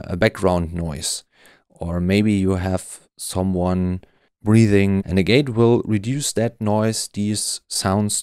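Man, tutorial presenter, low pitch, very dry close sound, measured explaining. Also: gravelly, darkish tone, dry recording.

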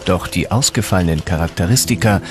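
Guy narrating a documentary, lowish voice, clear music playing behind him. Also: fairly quick. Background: background music.

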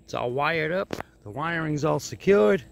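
A man's voice: a little high, lively intonation, unhurried, gravelly, slight background noise. A man giving a plain-spoken, casual step-by-step narration of a hands-on DIY project as he films it. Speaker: DIY hobbyist video host.

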